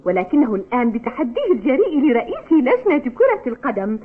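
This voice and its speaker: A spirited, nosy schoolgirl in a cartoon, high-voiced and swooping in melody. The audio is tinny and narrow. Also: fast talker, slightly rough, exaggerated voice. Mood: amused. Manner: animated. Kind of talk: Arabic cartoon dubbing. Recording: tinny, phone-like old TV dub audio.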